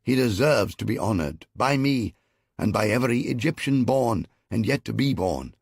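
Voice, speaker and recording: A man voicing an ancient Egyptian pharaoh who makes grand, ceremonious proclamations in a strong, clear, carrying voice. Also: mid-range voice, expressive, moderate pace, darkish tone, no room sound, studio quality, pitched above the performer's own voice. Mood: regal. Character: commanding male Egyptian pharaoh, regal ruler.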